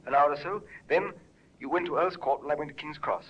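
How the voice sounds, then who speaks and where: An actor playing a nervous, stammering young artist. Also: male voice, fairly high pitch, fairly quick, highs cut off, close to an everyday voice. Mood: urgent. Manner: stammering, pleading. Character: nervous young male artist under suspicion. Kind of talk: 1950s radio drama acting. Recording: noisy old radio recording.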